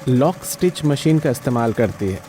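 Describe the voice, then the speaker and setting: A man's voice: medium pitch, lively intonation, moderate pace, warm tone, slight background noise. A man reading scripted narration for a cartoon family story.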